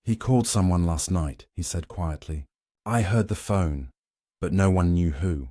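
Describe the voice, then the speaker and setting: A man's voice: sits low, measured pace, mellow, dry recording, clean studio sound. A man narrating a scripted dramatic story in a solemn, suspenseful way, in short weighted phrases.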